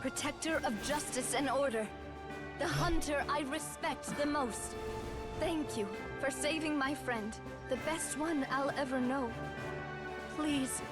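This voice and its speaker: Gentle earnest girl, high and slow, reverent, loud music over her, anime game. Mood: reverent pleading.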